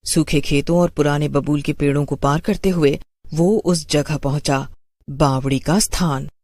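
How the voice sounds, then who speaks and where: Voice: medium pitch, steady tone, measured pace, darkish tone. A narrator reading a scripted horror story.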